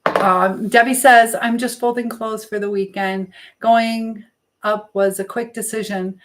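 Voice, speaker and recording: A woman's voice: on the high side, steady tone, unhurried. A middle-aged American woman hosting a casual live stream, chatting in a rambling way as she answers viewers and drifts between asides.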